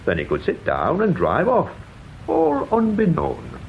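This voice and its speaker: An actor playing a deferential, long-winded police sergeant in a radio drama, formal and pedantic. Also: male voice, fairly high pitch, wildly swooping pitch, measured pace, vocal fry, exaggerated voice. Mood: deferential, pleased. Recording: dull, hissy radio drama recording.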